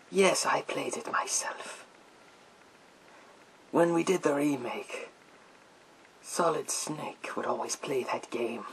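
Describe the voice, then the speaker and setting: A man playing a sinister psychic mind-reading soldier in a gas mask, in a theatrical, drawn-out, whispered ASMR roleplay. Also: sits high, bright, forceful tone, a little hiss or hum, pitched above the performer's own voice, exaggerated voice, poor audio. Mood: gleeful, knowing. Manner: theatrical, slow, drawn-out, repetitive phrases.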